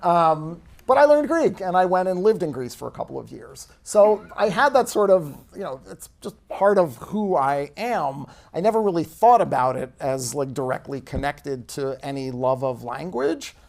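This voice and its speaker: A man, an author, chatting at a bookstore event, self-deprecating and relaxed, with plenty of fillers. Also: a little high, moderate pace, gravelly, few pauses. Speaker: author being interviewed. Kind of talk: casual conversation at a book event.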